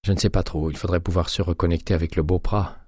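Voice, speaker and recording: A man's voice: deep voice, fairly even intonation, fast talker, darkish tone, slightly rough, no room sound, clean studio sound. A man reading an audiobook, voicing a wary, terse male investigator who speaks in a clipped mutter. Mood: pensive.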